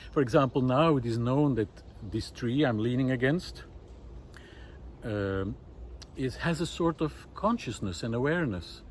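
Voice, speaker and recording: A man talking unscripted and reflectively to camera outdoors in a wooded park, pausing often mid-sentence between short phrases. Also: mid-range voice. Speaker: vlogger. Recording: clear outdoor background noise.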